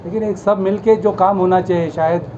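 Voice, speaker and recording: High-voiced Indian man, muffled noisy recording. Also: fairly even intonation, brisk. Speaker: local party worker. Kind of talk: spontaneous interview answer. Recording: dull, noisy location recording.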